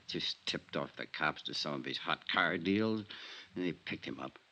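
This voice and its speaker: A man's voice: rumbling low, animated, unhurried, sharp tone, highs cut off, exaggerated voice. An actor in a 1950s radio drama playing a crooked insurance adjuster and killer, falsely genial, with slangy, sardonic tough-guy talk. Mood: smug, wheedling.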